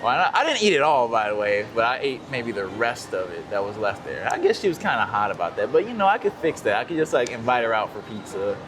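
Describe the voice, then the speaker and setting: A man's voice: mid-range voice, fast, few pauses, background noise. A man casually telling a story, rambling with lots of fillers, outdoors in a city. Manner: rambling, many fillers, relaxed. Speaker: guest.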